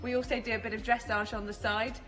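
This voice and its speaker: A woman's voice: a little high, flat delivery, quick pace, fairly bright tone. A woman in her thirties from England introducing herself to camera with witty self-deprecation. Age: 30s. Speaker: contestant.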